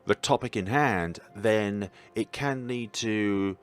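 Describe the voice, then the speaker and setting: A man's voice: fairly low pitch, slow. A man hosting a visual novel playthrough, reading the narration expressively and adding reflective commentary. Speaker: gaming video host.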